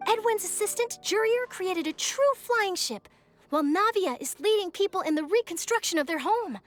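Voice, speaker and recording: An eager young female reporter speaks in a very high, animated voice. She is chirpy and persuasive in polished game dialogue. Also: faint music behind. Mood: eager, upbeat. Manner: chirpy, persuasive, brisk enthusiasm. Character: bubbly, eager young woman newspaper reporter. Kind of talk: video game voice acting.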